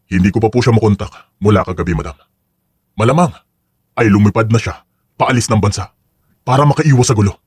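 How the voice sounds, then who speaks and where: A man playing a deferential, discreet henchman with a husky voice, reporting in a businesslike way in an audio drama. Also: lowish voice, fairly quick, pauses often, darkish tone, no echo, studio quality. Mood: obedient, businesslike. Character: deferential male henchman reporting to his crime boss. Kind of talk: dramatized audio story acting.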